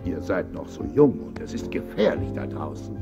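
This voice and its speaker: A performer voicing a kindly, fatherly old male turtle with a gravelly voice in a children's audio play. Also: rumbling low, very animated, moderate pace, over music, full character voice. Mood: gentle concern.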